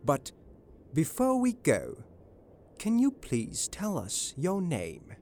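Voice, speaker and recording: A man playing a confident, shrewd detective in a dramatised audiobook, polite, measured and knowing. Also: fairly high pitch, huge pitch swings, mellow, slight background noise, heavily acted. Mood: polite, probing.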